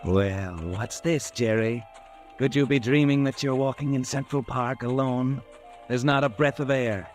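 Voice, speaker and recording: A man's voice: mid-range voice, a little slow, faint music behind. A man narrating in second person, soothing and eerie, in an old radio drama.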